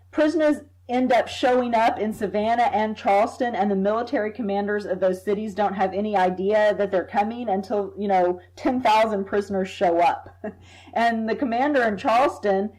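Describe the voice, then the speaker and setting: A woman academic answers at length as a podcast guest, her voice sitting at a middle pitch. She talks in a measured, thoughtful way and sometimes repeats a word while she thinks. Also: darkish tone, poor audio. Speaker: history professor, guest. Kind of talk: expert explaining in an interview.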